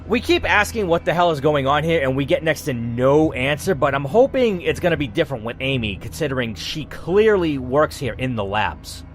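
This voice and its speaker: A man's voice: on the high side, animated, normal speed, hardly any pauses. A man reading a first-person game story aloud as a dramatic narrator. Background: music.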